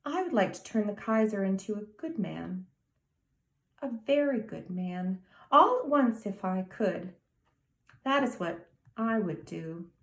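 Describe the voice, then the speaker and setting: A woman's voice: on the high side, sing-song, a little slow, reverberant. A woman voicing a serious, earnest small boy of nearly ten in a storybook reading. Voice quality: grave, emphatic childlike delivery.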